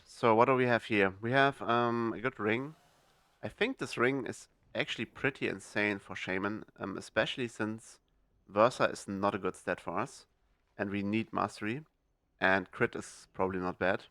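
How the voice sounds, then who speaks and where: A man's voice: fairly low pitch, fairly bright tone, smooth, dry recording. A young man hosting a gaming video, talking through his choices in a casual, hesitant way with lots of fillers and restarts. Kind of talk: casual gameplay commentary.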